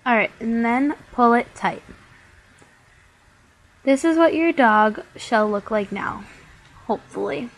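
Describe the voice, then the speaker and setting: A woman's voice: fairly high pitch, animated, takes it slowly, long pauses, low-quality recording. Young woman, congested, casual, chatty, step-by-step craft instructions. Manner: chatty, many "like" fillers. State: stuffy nose from a cold. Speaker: craft tutorial host.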